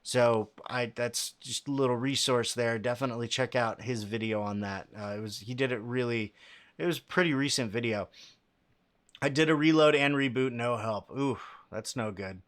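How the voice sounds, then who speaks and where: A young man with a low voice and plenty of bass in it, talking casually to his livestream chat. He is relaxed and conversational, restarting sentences and dropping "um" and "like" as he thinks aloud. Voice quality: fairly low voice with a lot of bass. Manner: casual and halting, many fillers and restarts. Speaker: livestream host answering chat questions.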